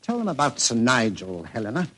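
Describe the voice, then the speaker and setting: An actor playing an affable, hesitant older professor in a vintage radio drama. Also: male voice, mid-range voice, wildly swooping pitch, a little fast, a bit bright. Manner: hesitant, stammering, avuncular. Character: affable older English professor, eager gentlemanly host. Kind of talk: 1940s radio acting. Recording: noisy old radio recording.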